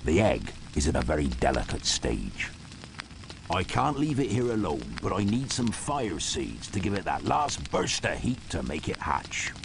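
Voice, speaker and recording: An actor playing a burly, warm-hearted giant groundskeeper in a video game, with a gruff, hearty voice. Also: male voice, low-pitched, sing-song, a little slow, noisy background, full character voice. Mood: confiding. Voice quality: gruff, gravelly. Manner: hearty, rustic, fond.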